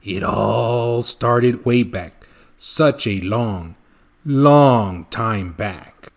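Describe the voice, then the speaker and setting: A man voicing a secretive old recluse who tells a storybook tale in rhyme with dramatic, drawn-out words. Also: mid-range voice. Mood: wistful, slow. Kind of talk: storybook read-aloud in rhyme.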